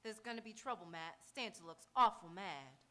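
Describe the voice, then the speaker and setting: A woman's voice: lowish voice, expressive, normal speed, sharp tone. An actress playing a shrewd, chatty townswoman in a live radio Western, with a knowing, pointed manner. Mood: observant, concerned. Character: shrewd, sociable frontier townswoman, the marshal's confidante. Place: auditorium stage, live radio theatre performance.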